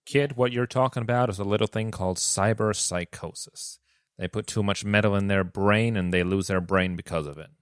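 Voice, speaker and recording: A man acts out a world-weary scavenger veteran in a tabletop roleplay. The voice is fairly low and closely miked, explaining things plainly like a gruff mentor. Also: a little fast, no echo, studio quality, close to an everyday voice. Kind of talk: improvised tabletop roleplay acting.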